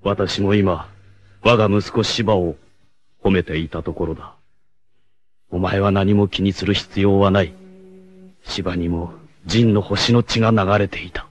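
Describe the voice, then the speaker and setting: An actor playing a noble, fatherly blind rebel warrior in an anime, with a solemn, formal, measured delivery. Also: deep voice, fairly even intonation. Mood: quietly grieving. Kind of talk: dramatic anime acting.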